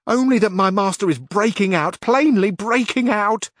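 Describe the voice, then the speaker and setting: A man doing a shrill, frantic housekeeper. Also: normal speed, no room sound, clean studio sound, natural voice. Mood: distressed. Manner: high, shrill and pleading. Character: distraught older woman housekeeper, fretful.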